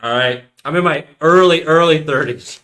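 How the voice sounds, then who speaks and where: Thirty-year-old guy streaming, super casual and slangy, kinda sharp bright tone, answers chat. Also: fairly high pitch, normal speed. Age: 30s. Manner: slangy, rambling, reacts to chat messages. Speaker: live streamer.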